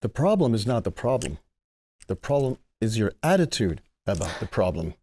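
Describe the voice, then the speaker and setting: A man's voice: fairly low pitch, big pitch swings, moderate pace, mellow, slightly rough, full character voice. Man as a droll, swaggering older film star. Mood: patronizing. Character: smirking, theatrical late-fifties movie star with drunken swagger. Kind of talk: comedy script table read.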